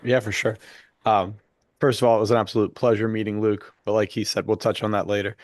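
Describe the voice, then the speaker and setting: Young male co-host, low voice, slightly hoarse, dry studio sound, eager chatty delivery. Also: flat delivery, fairly quick, no echo. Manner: chatty, enthusiastic, many fillers. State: losing his voice after illness. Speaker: podcast co-host. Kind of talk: casual chat.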